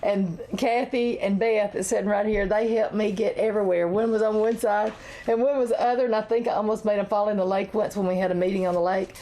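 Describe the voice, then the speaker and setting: Woman host with a raspy voice, mellow tone, chatty local TV style. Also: mid-range voice, fairly even intonation, brisk, rough recording. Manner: chatty, laughs often. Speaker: chamber of commerce talk show host. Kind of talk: casual local TV chat. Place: local TV studio.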